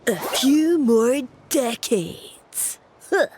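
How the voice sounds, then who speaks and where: A woman's voice: sits high, animated, drawn-out words, background noise, full character voice. A woman voicing a dim-witted, eager little talking mushroom sidekick in an anime game, goofy and exclamatory. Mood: goofy, sycophantic.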